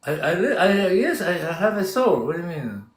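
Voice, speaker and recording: High-voiced man arguing, echoey room. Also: sing-song, fairly quick. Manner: insistent, rambling, talks over others. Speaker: debate caller. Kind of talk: argumentative live debate.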